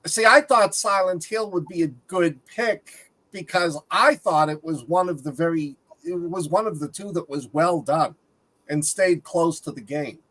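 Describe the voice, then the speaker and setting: A woman's voice: on the low side, measured pace, a bit bright, low-quality recording. A woman talking casually as a panelist on a discussion show. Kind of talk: casual chat.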